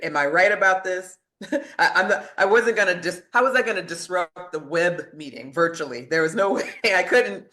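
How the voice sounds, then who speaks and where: A man's voice: high-pitched, moderate pace, pushed and bright. A man speaking as a physician guest in a podcast discussion, emphatic and pressing rhetorical questions, with laughs between points.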